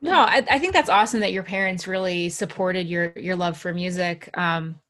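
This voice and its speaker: A woman's voice: on the low side, moderate pace, a bit bright. A woman who hosts a podcast, raised in New Jersey, chatting casually and filling her talk with "you know". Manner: filler-heavy, lots of "you know". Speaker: podcast host.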